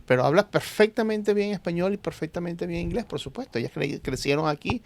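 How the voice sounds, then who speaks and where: A man's voice: sits high, expressive, brisk, vocal fry, no room sound. A middle-aged man with a Venezuelan accent, a doctor talking as a guest in an interview, emphatic and repeating phrases for stress. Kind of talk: conversational interview.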